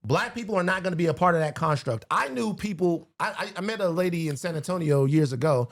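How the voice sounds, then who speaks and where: Higher-voiced man talking emphatically. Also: expressive, quick pace. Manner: emphatic, builds points through repetition. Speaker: guest. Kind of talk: casual political conversation.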